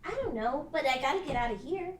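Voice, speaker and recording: An earnest, excitable teenage girl witch from an animated pilot, voiced by a woman at a table read. Her voice is high and lively, with a wide pitch range. The sound is roomy and distant from the mic. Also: quick pace, a little hiss or hum. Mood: eager.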